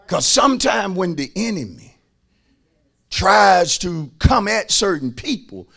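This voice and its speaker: A man's voice: sits high, very animated, slow, gravelly, fairly bright tone. A man preaching as a pastor, emphatic, repeating phrases and calling on his listeners to respond. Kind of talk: sermon.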